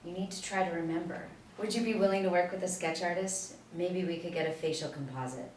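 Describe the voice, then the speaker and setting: A composed female investigator, read by a woman from a script. Her voice is on the low side, measured and reassuring. The room echoes and there is clear background noise. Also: darkish tone, far from the mic, close to an everyday voice. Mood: calm, persuasive. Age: young adult. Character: composed, persuasive female federal agent. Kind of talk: scripted table read of a TV pilot. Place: small indoor room, seated reading.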